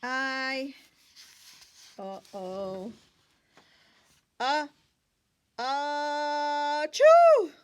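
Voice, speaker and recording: A woman voicing a sneezy, hesitant male elephant from a picture book, halting and drawing words out. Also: high voice, animated, exaggerated voice. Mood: about to sneeze. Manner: halting, drawn-out build-ups.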